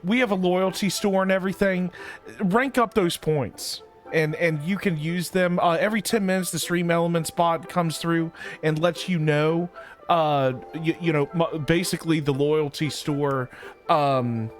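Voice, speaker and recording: A man's voice: a little high, steady tone, a little slow, soft background music. A man chatting casually on a live game stream, slangy and repeating himself. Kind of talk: casual live-stream chat while gaming.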